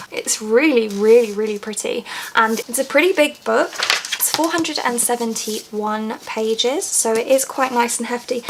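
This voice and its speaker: A woman's voice: high voice, slight background noise, poor audio. A young woman chatting enthusiastically through a book box unboxing in a small room, reading blurbs aloud. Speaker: book vlogger. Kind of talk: casual unboxing chat. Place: small room in front of bookshelves.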